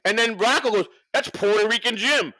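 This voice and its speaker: A man's voice: high voice, brisk, bright, forceful tone, no room sound, low-quality recording. A man on a livestream, talking off the cuff in a profane, rambling way and repeating himself for emphasis. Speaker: livestream co-host. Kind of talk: unscripted livestream rant and banter.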